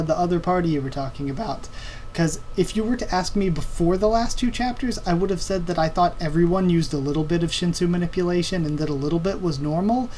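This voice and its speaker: A man with a fairly high voice hosts a casual question-and-answer video. He talks in an informal, halting way, thinking aloud, and his voice sounds slightly strained and tired. There is some faint noise in the recording. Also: darkish tone, poor audio. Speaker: online video host. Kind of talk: casual Q&A commentary on anime and comics.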